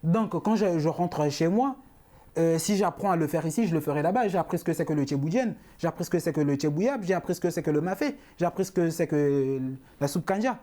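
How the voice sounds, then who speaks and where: A young man from Congo speaking as a panel guest in a mellow, warm voice. He explains his ideas patiently, restating them and pausing on fillers. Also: a little high, lively intonation. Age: young adult. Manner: explanatory, repeats points, frequent fillers. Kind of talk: panel discussion.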